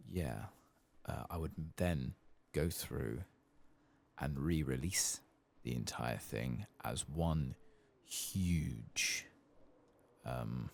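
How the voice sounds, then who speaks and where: Soft spoken asmr gamer guy, super deep n creaky, breathy, half whispering, pitch wanders a lot. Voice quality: breathy, gravelly, often slipping into whisper. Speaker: video game streamer.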